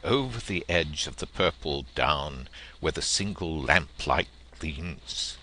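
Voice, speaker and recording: A man reading literary prose and verse aloud as an audiobook narrator, with theatrical, deliberate phrasing. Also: extremely low pitch, sharp tone, slight background noise, low-quality recording.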